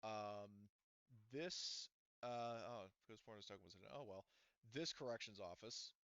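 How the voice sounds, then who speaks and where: A man's voice: lowish voice, a little slow, a bit bright, clear, resonant tone, no echo. A man running a tabletop roleplaying game as game master, talking casually in rambling runs full of fillers, restarts and the odd swear word. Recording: dull lo-fi recording, dry.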